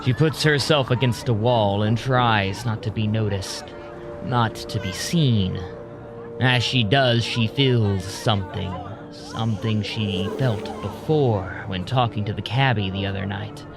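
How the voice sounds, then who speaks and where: A man narrating a scripted audio drama in a measured, deliberate way. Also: fairly low pitch, music in the background. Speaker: narrator, storyteller.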